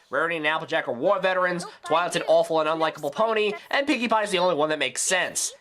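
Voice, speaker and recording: A man's voice: high-pitched, pushed and bright. A man delivering a scripted critical review in a ranting, sarcastic, exasperated manner.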